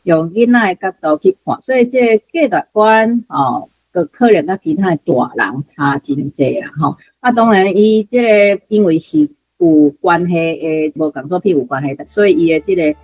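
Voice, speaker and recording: A woman's voice: lowish voice, expressive, normal speed, hardly any pauses, a little mellow, no echo, dull audio. A woman with a Taiwanese accent giving unscripted, explanatory commentary on literature as part of a radio programme. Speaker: radio programme guest or presenter discussing literature.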